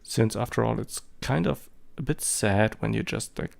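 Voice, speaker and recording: German-accented man, low gravelly voice, hesitant, lots of fillers, casual vlog talk. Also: normal speed. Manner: rambling, hesitant, many fillers. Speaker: photography vlogger. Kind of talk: casual spoken commentary.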